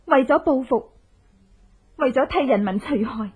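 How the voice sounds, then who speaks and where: A woman's voice: high voice, expressive, narrow, tinny audio, faint music behind. An actress playing a determined young avenging woman who coaxes sweetly, in Hong Kong Cantonese radio drama style. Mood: resolute, earnest. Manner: coaxing, theatrical, drawn-out sentence particles.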